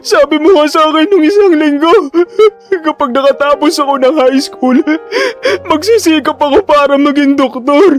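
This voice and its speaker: A man's voice: extremely high pitch, normal speed, faint music behind, pitched above the performer's own voice. A man voicing a desperate poor teenage son in an audio drama, with a tearful, imploring manner.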